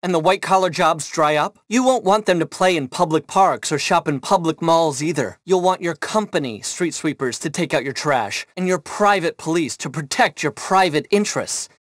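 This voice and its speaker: A man's voice: on the high side, normal speed, few pauses, no echo, close to an everyday voice. Man playing a smug tech billionaire, close dry sound. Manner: smug, condescending, corporate jargon. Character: smug, entitled male tech billionaire, self-important and easily panicked. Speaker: tech company boss and client. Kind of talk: video game voice acting.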